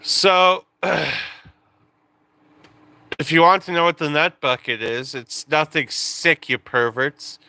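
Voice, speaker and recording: Bright, clear-voiced young man streaming. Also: on the high side, slow, pauses often, no echo. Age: 20s. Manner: rambling, self-interrupting, joking. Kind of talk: casual live-stream chat.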